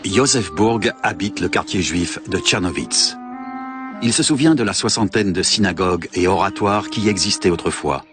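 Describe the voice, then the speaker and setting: Deep male voice-over, measured documentary read, music underneath. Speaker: documentary narrator. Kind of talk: scripted documentary narration. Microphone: studio voice-over mic. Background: background music.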